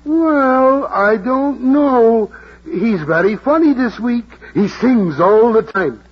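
An actor in a vintage radio drama playing a kindly, hesitant, fatherly older taxi driver with a husky voice and a coaxing manner. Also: very high voice, lively intonation, dull audio, a little hiss or hum, full character voice. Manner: slow, hemming, gently coaxing. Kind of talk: 1940s radio drama acting.

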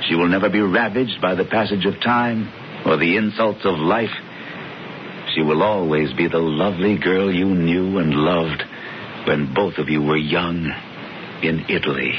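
An actor playing a calm, knowing older brother who insistently tempts and persuades, heard on a dull, lo-fi radio broadcast. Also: male voice, low-pitched, measured pace, a little hiss or hum. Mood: persuasive. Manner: smooth, insistent, theatrical. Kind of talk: 1970s radio drama acting.

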